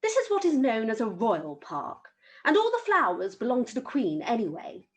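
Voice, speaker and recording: A woman voicing a kindly, patient gentleman from a children's storybook. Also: on the high side, wildly swooping pitch, normal speed. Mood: kindly explaining.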